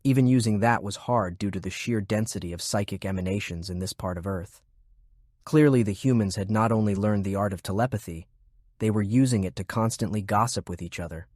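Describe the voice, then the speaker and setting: A man reading scripted fiction as an audiobook narrator in a measured, descriptive manner. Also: fairly low pitch, a little mellow, no room sound, clean studio sound.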